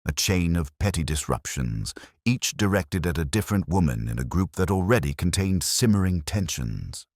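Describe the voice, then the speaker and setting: Very deep, husky male storyteller. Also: animated, no echo, studio quality. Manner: measured, literary storytelling. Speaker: narrator. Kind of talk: scripted mystery story narration.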